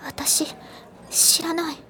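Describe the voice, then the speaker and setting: A woman playing a frail, withdrawn high school girl who speaks quietly and hesitantly in a Japanese anime audio drama. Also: level tone, extremely slow, whispered, heavily acted. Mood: timid, evasive.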